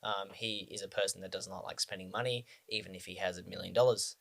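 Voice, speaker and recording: A man's voice: low voice, steady tone, fast, gravelly, a bit bright. A man in his twenties from Australia, talking casually to the camera about personal finance, rambling with frequent ums and restarts. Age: 20s.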